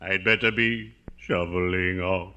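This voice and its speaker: An actor playing a morbidly genteel, joking undertaker with formal, mock-solemn delivery in 1950s radio comedy style. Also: male voice, sits low, fairly even intonation, unhurried. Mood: gleefully macabre. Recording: noisy vintage radio recording.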